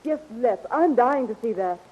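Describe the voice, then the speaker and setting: An actress playing a hero's devoted, playful and slightly jealous sweetheart in an old radio serial. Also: female voice, a little high, animated, normal speed, close to an everyday voice. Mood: admiring, playful. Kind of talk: 1940s radio serial acting. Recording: muffled old radio recording.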